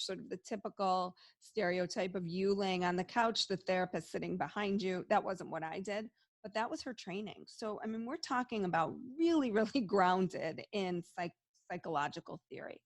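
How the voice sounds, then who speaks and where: Middle-aged woman talking openly, low voice, dry recording, lots of restarts. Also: vocal fry, no echo. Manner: open, reflective, many restarts. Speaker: interview guest, psychologist and medium. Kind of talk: casual interview talk.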